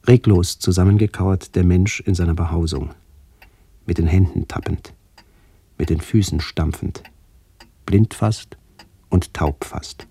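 Deep, mellow, solemn narrator. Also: male voice, unhurried, vocal fry, pauses often, husky, exaggerated voice. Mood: somber, contemplative. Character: somber male first-person narrator remembering his aged aunts. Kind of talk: literary radio play narration.

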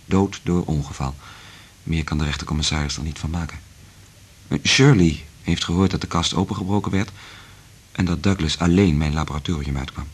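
An actor playing an earnest, resolute scientist in love in a radio play, speaking in a measured, intimate way with a husky voice. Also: male voice, a bass voice, exaggerated voice. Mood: matter-of-fact, guarded. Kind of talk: Dutch radio play acting.

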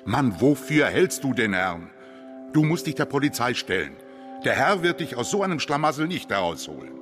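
Pompous, grumpy Harlem reverend, theatrical preacher delivery, radio crime drama, long reverb, clear music behind. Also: male voice, medium pitch, sing-song, moderate pace, a bit bright. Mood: incredulous, scolding.

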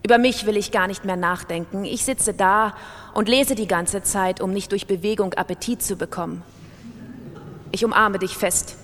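An actress reading aloud the pleading, despairing letter of a penniless writer in a radio drama. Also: female voice, mid-range voice, moderate pace, fairly bright tone, a little hiss or hum, close to an everyday voice. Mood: despondent, tender. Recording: long reverberant hall sound.

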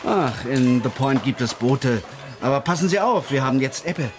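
A man's voice: medium pitch, expressive, moderate pace, over music, close to an everyday voice. An actor playing a breezy, teasing, easygoing local man in a German radio crime drama.

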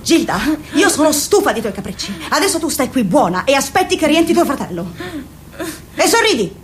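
A woman's voice: high voice, very animated, fairly quick, a little hiss or hum. An actress playing a bossy, fussing middle-aged mother in a radio play, fawning on guests. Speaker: mother of two grown children. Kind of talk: radio drama acting.

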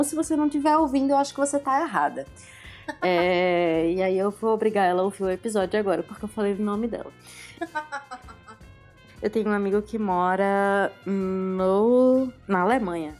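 Podcast host lady, medium voice, chatty, searches for words a lot, quiet music behind. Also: medium pitch. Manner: conversational, searches for words, drawn-out fillers. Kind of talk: casual podcast chat.

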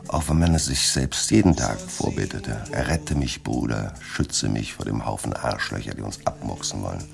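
An actor playing a weary, fearful but dogged middle-aged crime writer, brooding and wry, in a German radio drama. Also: male voice, a bass voice, moderate pace, full character voice. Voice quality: gravelly.

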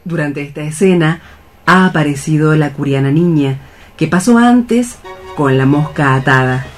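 A man's voice: a little high, a little slow. A man narrating radio theatre, reading out scripted stage directions. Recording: faint music behind the voice. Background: faint music.

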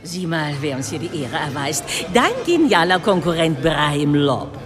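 An actress playing a flirtatious, effusive society hostess in a radio drama, with an affected, theatrical manner. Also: female voice, low-pitched, sing-song, normal speed. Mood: gushing welcome. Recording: roomy hall, loud music behind.

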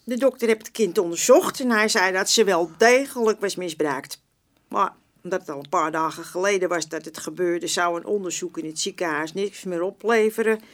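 A woman's voice: mid-range voice, fairly bright tone, close to an everyday voice. An actress playing a feisty, proud old woman in a radio drama, blunt and colloquial. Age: older. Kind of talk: Dutch radio drama acting.

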